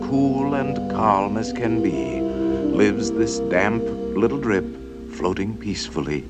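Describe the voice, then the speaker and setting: A man narrating a 1950s educational film in a warm, playful storytelling manner that slips into rhyme. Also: on the low side, slow pace, over loud music.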